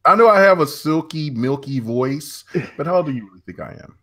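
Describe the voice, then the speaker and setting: A man in his thirties joking around on a gaming podcast in a slow, deadpan drawl. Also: fairly high pitch. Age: 30s. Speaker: podcast co-host. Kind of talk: casual podcast banter.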